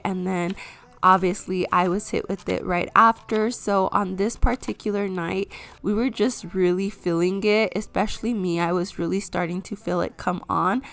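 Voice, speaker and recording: A woman narrates a cozy cooking vlog as she talks viewers through each step. Her voice is hoarse from a cold and has a gravelly edge. Her pitch sits in a middle range. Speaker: vlogger. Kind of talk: casual cooking vlog voice-over.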